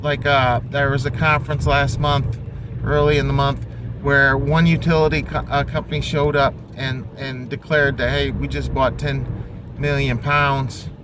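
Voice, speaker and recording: Higher-voiced man over noisy background. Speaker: amateur investor commentator. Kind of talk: unscripted opinion monologue on investing. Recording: clearly noisy, vehicle and outdoor-like background.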